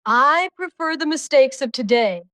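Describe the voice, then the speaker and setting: A man voicing a spirited, flirtatious English duchess in an audiobook, with a coy falsetto and quick retorts. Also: lively intonation, pushed and bright, no room sound, exaggerated voice. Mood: coy, playful. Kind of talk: audiobook character dialogue.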